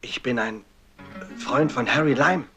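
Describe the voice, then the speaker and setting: Male actor as inquisitive noir investigator, medium pitch, clear music underneath. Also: unhurried, close to an everyday voice. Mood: inquiring. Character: inquisitive American writer investigating in a film noir. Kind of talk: old film dialogue.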